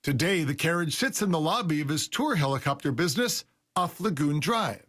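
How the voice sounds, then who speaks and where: A man's voice: a little high, animated, fairly quick, a bit bright, husky. A man reporting a business feature for television in a polished, scripted news delivery.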